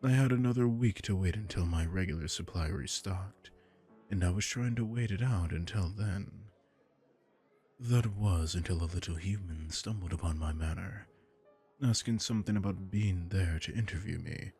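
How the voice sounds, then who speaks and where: A man voicing a suave aristocratic male vampire lord in an ASMR roleplay, smooth and teasing. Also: sits low, moderate pace, warm tone, faint music behind. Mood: smooth menace.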